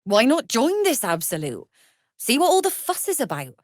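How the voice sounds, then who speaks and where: Wry female smuggler, lively intonation. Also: fairly high pitch, moderate pace, no room sound, studio quality. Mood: wry, cool. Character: wry, shrewd female smuggler-merchant, coolly amoral. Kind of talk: video game character acting.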